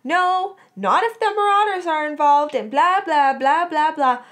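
A woman's voice: on the high side, wildly swooping pitch, a little slow, fairly bright tone, exaggerated voice. A woman voicing a defensive, hot-tempered teenage schoolgirl in a story, with an emphatic, dramatic delivery. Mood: ranting, exasperated.

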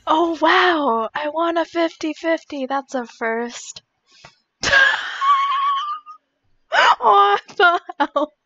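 A woman's voice: high-pitched, lively intonation, very slow, pauses often, bright, forceful tone. A young woman live-streaming a game in casual, reactive chat, swearing casually, on slightly dull lo-fi audio.

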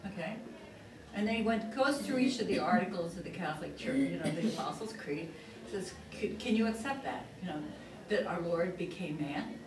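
A woman's voice: fairly low pitch, lively intonation, moderate pace, noisy background. An older woman, a nun, telling a long story to a class. She keeps stopping to say "he says" as she recounts the dialogue. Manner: rambling, repeats "he says" while retelling dialogue. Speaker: religious teacher, nun. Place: plain meeting room with tables. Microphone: far camera across the room. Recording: echoey room, far-off mic, listeners audible.

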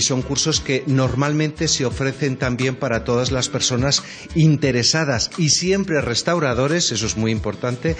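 A man giving explanatory interview answers as a foundation representative, with measured, emphatic phrasing. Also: mid-range voice.

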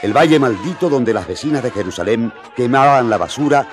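A man's voice: medium pitch, animated, fairly quick. A man narrating a radio drama as a vivid, dramatic storyteller. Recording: crowd noise behind.

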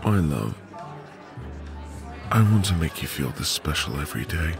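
Deep, creaky, tender newlywed husband, acted by a man. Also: big pitch swings, exaggerated voice. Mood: tender, happy. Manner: soft, intimate, hesitant, savoring pauses. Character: tender, devoted newlywed husband, romantic and gentle. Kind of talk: ASMR boyfriend roleplay. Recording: music behind the voice. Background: music.

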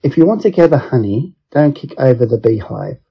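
A man reading a self-help book aloud as an audiobook, in measured phrase-by-phrase narration. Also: medium pitch, expressive, gravelly, slightly rough. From Australia. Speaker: audiobook reader. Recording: dull lo-fi recording.

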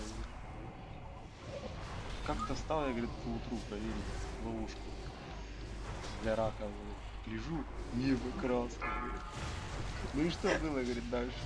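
A man on casual gaming voice chat, telling rambling stories full of swearing while playing a raid. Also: medium pitch. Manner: rambling storytelling, heavy swearing.

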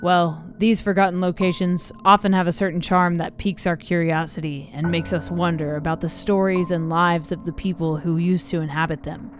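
Low-voiced woman narrating a countdown. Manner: even, measured countdown delivery. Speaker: channel host. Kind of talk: scripted documentary narration. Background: music bed.

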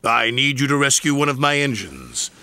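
A man's voice: medium pitch, big pitch swings, moderate pace, pushed and bright. A man voicing a proud, kindly railway boss with formal authority in a children's story. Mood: firm, reassuring.

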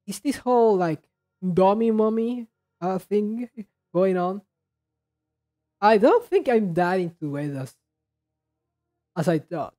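A man giving casual commentary as a reaction video host, rambling haltingly and often repeating and restarting his words. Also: sits high, animated, mellow, soft background music. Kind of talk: casual commentary on game trailers.

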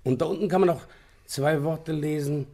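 Gravelly, polite police inspector. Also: male voice, a little high, moderate pace, dark tone. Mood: puzzled, eager. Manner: deferential, matter-of-fact. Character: polite, businesslike male police inspector reporting a case. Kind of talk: German radio play acting.

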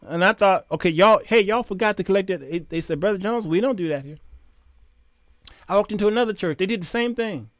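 Male American talk host, high register, dry close-mic sound, emphatic preacherly monologue. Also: brisk, no room sound. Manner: animated, preacherly, emphatic, with rhetorical questions and acted-out dialogue. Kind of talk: informal opinionated monologue to camera. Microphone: close condenser microphone on boom arm.

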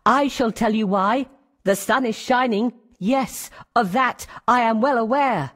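A woman's voice: fairly high pitch, unhurried, fairly bright tone, no room sound, clean studio sound. A woman voicing a little insect in a children's fable, speaking theatrically. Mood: scolding.

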